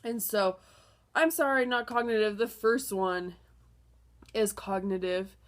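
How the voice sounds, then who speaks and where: A woman's voice: medium pitch, unhurried. A young woman with a stuffed-up nose from a cold, casually talking through a lesson plan with many fillers and restarts. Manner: chatty, filler-heavy, apologetic restarts. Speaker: student presenting a lesson plan. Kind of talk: casual spoken presentation.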